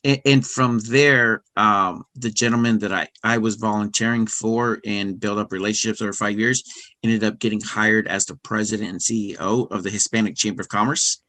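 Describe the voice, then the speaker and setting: A middle-aged man speaking as a podcast guest, talking casually with many fillers, restarts and soft laughs. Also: on the low side, fairly even intonation, normal speed. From United States. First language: English. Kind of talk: casual interview conversation.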